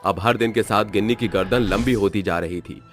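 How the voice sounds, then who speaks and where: A man narrating a cartoon story in a steady storytelling manner. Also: on the low side, a little fast, soft background music.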